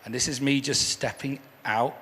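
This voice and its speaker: A man with a hoarse, croaky voice gives an earnest church testimony as a guest speaker, often repeating words as he restarts. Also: medium pitch, steady tone, moderate pace, fairly bright tone, slight background noise. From England. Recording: echoey hall with faint ambience.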